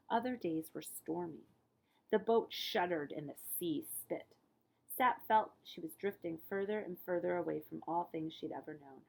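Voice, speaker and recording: A woman reading a children's story aloud as a librarian, with expressive emphasis and phrases that often fall hushed. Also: on the low side, vocal fry, breathy edge, low-quality recording.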